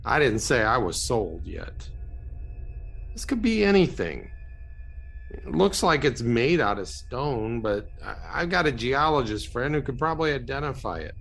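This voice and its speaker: A man's voice: medium pitch, big pitch swings, measured pace, gravelly, a bit bright, soft background music, exaggerated voice. A man playing a weary, skeptical investigator with a casual, dry way of talking in an audio drama. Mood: weary, cautious.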